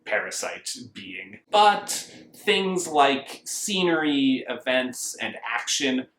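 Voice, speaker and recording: An American man giving a casual book review in a conversational, measured way, often qualifying his opinions. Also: fairly high pitch, expressive, gravelly, a bit bright, slight background noise. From United States. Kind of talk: casual review talk to camera. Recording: roomy, echoing indoor sound.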